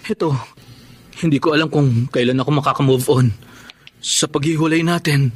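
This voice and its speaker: A man's voice: fairly high pitch, fairly even intonation, moderate pace, lightly acted. An actor playing a heartbroken, earnest young man in a radio drama, speaking with emotional yearning. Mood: heartsick. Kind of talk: Tagalog radio drama acting.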